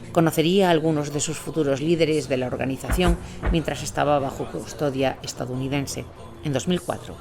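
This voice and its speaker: A man reading scripted first-person war narration as a storyteller. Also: on the high side. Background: noisy ambient sound.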